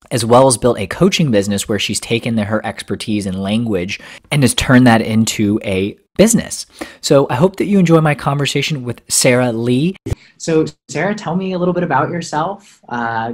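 A young man hosting a podcast interview from an office, introducing his guest and asking friendly questions with a few restarts. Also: mid-range voice. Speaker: podcast host. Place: office room indoors. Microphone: studio microphone with headphones.

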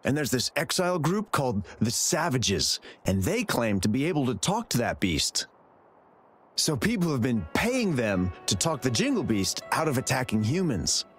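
A stern and uneasy game courier. He is formal and guarded, his medium-pitched voice moving expressively up and down. Faint music plays underneath. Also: very animated, moderate pace, husky. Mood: gruff, guarded. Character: stern, uneasy male courier warning of danger. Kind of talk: video game voice acting.

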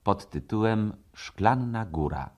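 A man's voice: on the low side, expressive, slow pace. A man giving a formal scripted announcement as a radio theatre announcer.

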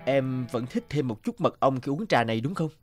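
Mid-pitched male voice, actor as courteous cartoon suitor, tender, wistful, music behind. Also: lively intonation, a little fast, lightly acted. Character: attentive, wistful old flame, soft-spoken suitor. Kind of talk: animated cartoon dialogue. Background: background music.

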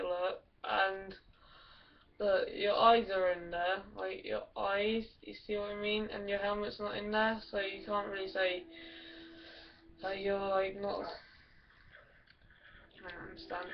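A woman's voice: medium pitch, slight background noise. A woman giving rambling, crude and giggly commentary while playing a video game. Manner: giggly, crude, silly and meandering. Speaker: gamer. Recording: lo-fi with loud music behind.

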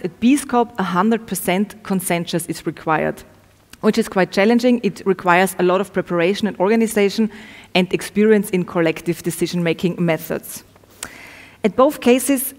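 Young female researcher, careful and slightly halting academic talk, mid-pitched voice in a reverberant room. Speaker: researcher presenting study findings. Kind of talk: prepared academic presentation. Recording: echoey, reverberant room sound.

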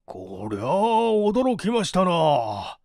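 A man voicing a polite, earnest gentleman in a story reading, deliberate and expressive. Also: high-pitched, vocal fry, no room sound, clean studio sound, heavily acted. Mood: stunned, lenient.

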